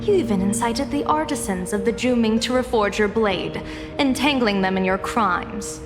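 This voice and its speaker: A woman's voice: on the high side, moderate pace, close to an everyday voice. A woman voicing a stern, poised general in a game, speaking formally and imperiously. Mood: stern accusation. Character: commanding female general, stern, poised and accusing. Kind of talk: dramatic game voice acting. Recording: long reverb, loud music behind.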